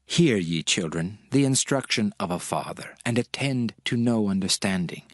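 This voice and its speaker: An actor playing a solemn, measured father instructing his son in a dramatized scripture reading, with music behind him. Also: on the low side, lively intonation, dry recording, studio quality. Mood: solemn counsel. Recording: loud music under the voice.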